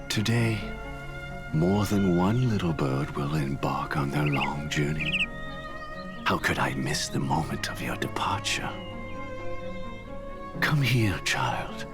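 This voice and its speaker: Slow, deep-voiced solemn patriarch. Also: male voice. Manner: solemn, deliberate, grandiose. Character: grave, fatherly older patriarch, philosophical and quietly menacing. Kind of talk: video game voice acting, solemn oratory. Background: background music.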